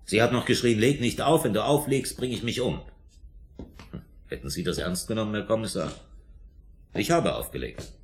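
A man's voice: fairly low pitch, normal speed, pauses often, slight background noise, close to an everyday voice. A man acting in a German radio drama as a defensive, indignant suspect under police questioning, emphatic and self-justifying. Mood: indignant, agitated.

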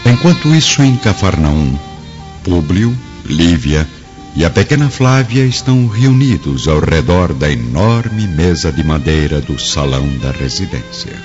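Deep, dramatic male radio narrator. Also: sing-song, a little slow. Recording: muffled radio drama under loud music.

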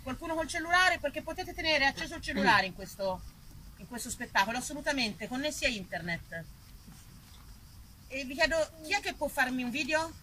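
A woman's voice: high voice, expressive, brisk, pushed and bright, slight background noise, low-quality recording. A woman giving a conversational stage monologue, informal and digressive, repeating phrases for effect. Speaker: stage performer addressing the audience.

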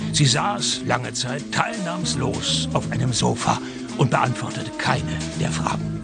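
An actor playing a sardonic private detective, narrating and talking in a comic radio play. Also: male voice, medium pitch, vocal fry. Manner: dry, sarcastic. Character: sardonic, world-weary male private detective narrating his cases.

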